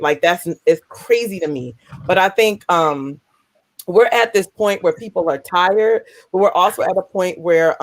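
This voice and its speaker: Woman panelist, deep voice, candid and cutting, dry sound, video call. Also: no echo. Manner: candid, blunt, salty humor. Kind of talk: casual discussion.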